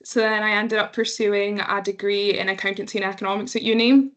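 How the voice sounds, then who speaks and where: Woman panelist on a call, level and matter-of-fact, little pitch movement. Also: steady tone, fairly quick, fairly bright tone. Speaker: panelist, assistant manager. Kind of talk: panel introduction and answers. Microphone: video call.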